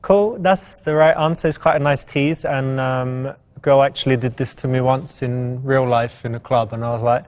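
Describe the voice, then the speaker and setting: A man presents as a dating coach. His voice is mid-pitched, and he talks through advice in an informal commentary style. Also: steady tone, moderate pace, few pauses, a little mellow.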